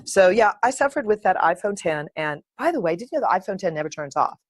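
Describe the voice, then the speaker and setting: A woman hosting her own podcast, talking in a playful, animated and uninhibited way, close to the mic. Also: mid-range voice, quick pace, no room sound. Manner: playful, animated, sings and makes silly sounds; uninhibited, swears. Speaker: podcast host. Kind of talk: solo podcast chat.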